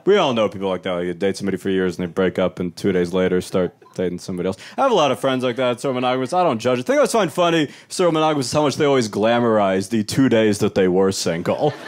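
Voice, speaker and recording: A young man performing a stand-up comedy set on a club stage, wry and animated, with laughter from the audience. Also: rapid-fire, hardly any pauses. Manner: wry, animated, jumps into high-pitched voices for quoted people.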